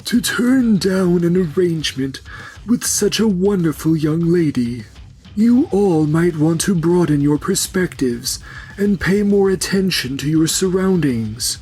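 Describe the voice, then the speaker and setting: A man's voice: on the high side, animated, unhurried, darkish tone, husky. A man voicing a stern, authoritative elderly grandfather in a manga dub, lecturing his family. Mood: scolding. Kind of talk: anime-style manga dub acting.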